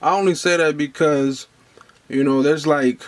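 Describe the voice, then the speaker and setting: A young man in his twenties hosts a podcast with an easy, laid-back delivery. His voice sits a little high for a man. He talks in slang and often checks in with his guest. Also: fairly even intonation, a little slow. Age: 20s. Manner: laid-back, slangy, fillers like "you feel me". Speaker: podcast host. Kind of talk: casual interview chat.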